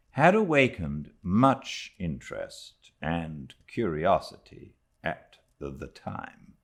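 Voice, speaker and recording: A British man narrating classic literature like an audiobook, with measured, dramatic phrasing and deliberate pauses. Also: low-pitched. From Britain.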